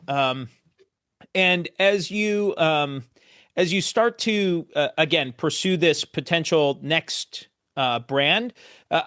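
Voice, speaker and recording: Man, slightly high voice, dry sound, webinar interviewer, conversational, halting, roundabout phrasing. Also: fairly bright tone, no echo.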